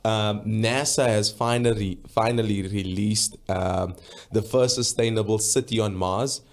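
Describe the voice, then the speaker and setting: A man's voice: lowish voice, fairly even intonation, measured pace. A young man from South Africa co-hosting a casual talk show, chatty and laughing easily, often hesitating with ums. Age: young adult. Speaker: radio co-host. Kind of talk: casual studio chat.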